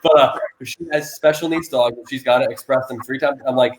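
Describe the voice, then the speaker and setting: A man hosting an animal rescue live stream, talking loudly in a rambling way full of restarts and fillers. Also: medium pitch, fairly even intonation, fairly quick, reverberant, a little hiss or hum, low-quality recording. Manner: loud, self-described loud person. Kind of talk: casual live-stream interview.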